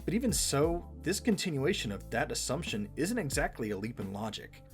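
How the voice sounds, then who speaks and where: A man's voice: medium pitch, big pitch swings, a little fast, soft background music. A man giving scripted back-and-forth commentary as co-host of a fan show.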